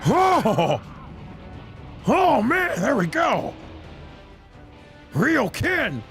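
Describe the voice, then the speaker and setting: A man's voice: extremely high pitch, huge pitch swings, extremely slow, a bit bright, faint music behind, voice pushed up, heavily acted. A man voicing a cocky, battle-hungry martial-arts hero in a fan dub over a fighting game, with brash taunts. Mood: cocky, fired up.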